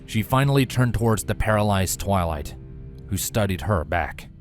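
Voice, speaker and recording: A man narrates a fantasy story in a deep voice. His delivery is measured and solemn, like scripted dramatic fiction reading. Light music runs behind him. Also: lively intonation. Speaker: narrator, fan fiction reader.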